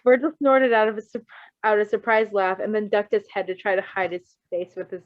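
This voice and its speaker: A woman's voice: mid-range voice, steady tone, a little fast, dry recording, lo-fi sound. A woman reading a story aloud expressively, in an informal, giggly way, breaking off to comment casually. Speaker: fan fiction reader.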